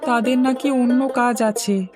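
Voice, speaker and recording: Woman voicing a high-pitched, earnest poor village boy. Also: fairly even intonation, unhurried, close to an everyday voice. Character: obedient, hardworking youngest brother, a poor boy. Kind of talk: cartoon fairy tale dialogue. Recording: music bed under the voice.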